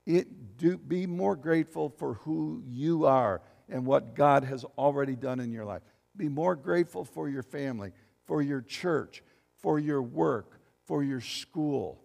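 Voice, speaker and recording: Gravelly seventy-something pastor in a reverberant hall. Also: male voice, fairly high pitch, measured pace, a little mellow. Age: 70s. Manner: reads scripture aloud, asks the congregation questions. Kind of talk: sermon. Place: church sanctuary.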